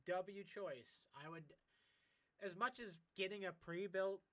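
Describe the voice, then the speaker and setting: A man talks casually on a gaming livestream. His voice is a bit higher than most men's, and he rambles in an informal, encouraging manner. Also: slow, bright, forceful tone. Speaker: game streamer.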